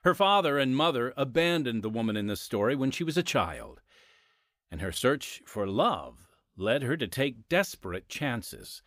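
A man narrating a radio drama as its announcer, with a dramatic, measured delivery. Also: medium pitch, sing-song, fairly bright tone, dry recording, clean studio sound. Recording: music under the voice. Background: music.

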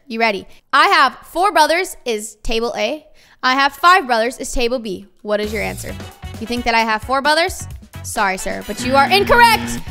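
A woman's voice: high voice, sharp tone. A young woman, hosting a multiplayer game in a bossy, playful way and repeating herself. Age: young adult. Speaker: game host.

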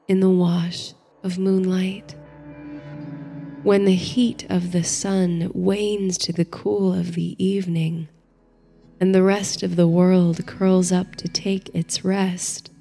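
A woman narrating a bedtime story and guided meditation in a soothing, hushed, gentle manner. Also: fairly low pitch, level tone, slow pace, gravelly, breathy edge. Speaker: host and narrator of a sleep story series. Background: faint music.

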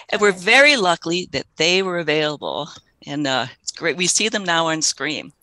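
Woman, 60s, host, low gravelly voice, bright tone, dry headset sound, friendly, a bit flustered. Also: no room sound, poor audio. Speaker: host of a folk music society session. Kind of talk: scripted introduction on a video call. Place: living room at home. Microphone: headset mic on a video call.

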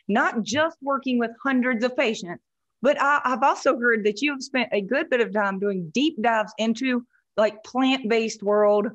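A woman's voice: fairly high pitch, dull audio. A woman in her forties hosting a casual interview from her living room, asking chatty, friendly questions into a studio mic. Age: 40s. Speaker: host. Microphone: studio mic in view.

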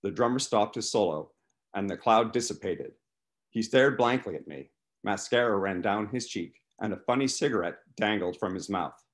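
A man in his fifties doing an animated first-person reading from his novel over a video call. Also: lowish voice, normal speed. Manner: animated, acts out dialogue. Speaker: author reading from own novel. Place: small living room, indoors. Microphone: video call, computer microphone.

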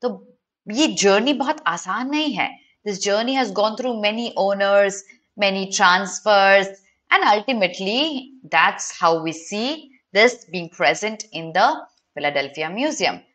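A woman's voice: mid-range voice, lively intonation, normal speed, a bit bright. A young woman with an Indian accent teaching an online exam lesson, explaining options to students directly with emphatic questions and switching between two languages. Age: young adult. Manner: mixes Hindi and English, addressing students directly.

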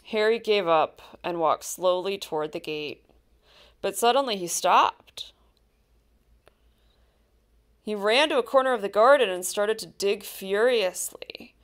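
A woman reading a children's picture book aloud, with expressive, deliberate storytelling and stressed key words. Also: medium pitch. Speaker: storybook reader.

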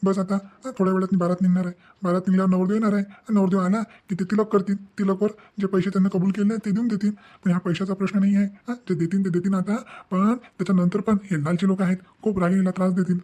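A man's voice: high voice, little pitch movement, quick pace, few pauses, warm tone. A man voicing a disheartened, exasperated young family man in a cartoon, fretting and repeating himself as he rambles. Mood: dejected. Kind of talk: cartoon comedy-drama dialogue.

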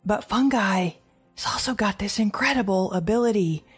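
An actress playing an anxious, guilt-ridden mother surviving alone after an apocalypse, in a halting, stammering audio drama monologue with a husky, gravelly voice. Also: mid-range voice, soft background music. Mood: uneasy, explaining. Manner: halting, stammering, repeating words.

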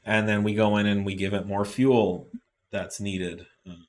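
Middle-aged guy with a deep voice, talks shop casually, lots of ums, studio mic. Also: little pitch movement, unhurried. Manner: explains in plain terms, many fillers and restarts, gestures a lot. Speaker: host. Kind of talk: casual technical explanation. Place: indoor studio with shop products on desk.

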